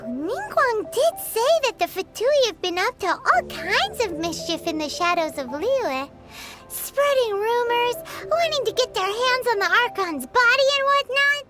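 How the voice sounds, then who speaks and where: A woman voicing a tiny, excitable, greedy and chatty girl companion in a video game, with a squeaky childlike voice and an exclaiming manner. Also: exaggerated voice. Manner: speaks of self in third person, exclaiming. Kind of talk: video game cutscene voice acting.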